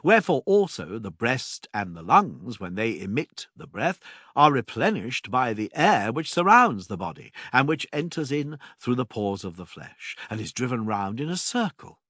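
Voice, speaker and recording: A man reading a classical philosophy text as scripted narration, with measured, deliberate phrasing and emphatic pauses. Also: medium pitch, big pitch swings, fairly bright tone, dry recording, studio quality.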